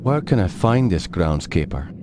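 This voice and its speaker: Guarded war veteran, low pitch, lively inflection, terse questioning, dramatic game acting. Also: male voice, normal speed, background noise. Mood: serious, inquiring. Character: stoic war veteran investigating the supernatural.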